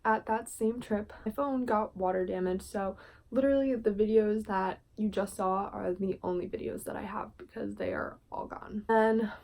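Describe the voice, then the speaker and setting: Chatty young woman vlogging, mellow tone. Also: mid-range voice. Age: 20s. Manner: chatty, fillers like "like". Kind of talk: casual vlog recap.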